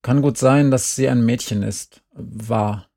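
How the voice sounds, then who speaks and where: A man voicing a calm, reassuring young teenage girl in an audiobook. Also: medium pitch, takes it slowly, no echo, clean studio sound. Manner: soothing, steady.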